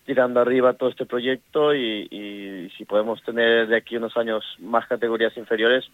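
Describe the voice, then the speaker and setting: A middle-aged man from Ecuador with an Ecuadorian Spanish accent, a women's football coach speaking in a casual interview, halting and full of restarts. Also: medium pitch, fairly even intonation, dry recording. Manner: halting, many restarts and fillers. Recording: tinny phone line.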